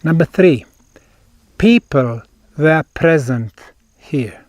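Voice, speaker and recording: A man with a Bosnian accent explains a site on location as a researcher, in deliberate, emphatic short phrases with pauses. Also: fairly high pitch, lively intonation, a little mellow, dry recording. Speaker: researcher presenting a field investigation.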